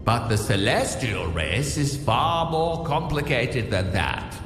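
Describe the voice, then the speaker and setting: A man's voice: mid-range voice, sing-song, takes it slowly, a bit bright. A man playing a mysterious, knowing figure delivering a theatrical, cryptic monologue in an audio drama, with heavy echo. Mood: knowing, teasing. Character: mysterious knowing male figure addressing the listener. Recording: echoey long reverb, music behind.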